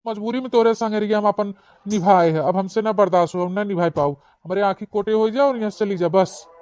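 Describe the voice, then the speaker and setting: A man's voice: high voice, brisk, a little mellow, clear, resonant tone, natural voice. A man voicing a callous, boastful village husband in a cartoon, taunting and blunt, with an eastern Uttar Pradesh Awadhi accent. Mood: scornful. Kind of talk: regional cartoon comedy dialogue. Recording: dull, dry lo-fi voice track.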